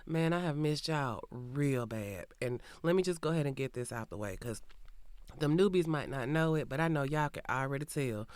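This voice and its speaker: A woman's voice: rumbling low, normal speed, darkish tone, dry recording. Sassy Southern woman with a cold. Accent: Southern American. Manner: sassy, chatty, full of Southern slang. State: sick with a cold, slightly nasal, coughing. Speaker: podcast host. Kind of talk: casual true crime podcast intro. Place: recording booth.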